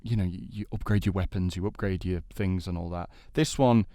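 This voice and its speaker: A man hosting and debating on a casual panel show, explaining his picks in a measured way. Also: low voice, steady tone, mellow, no echo. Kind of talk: casual panel debate about games and film.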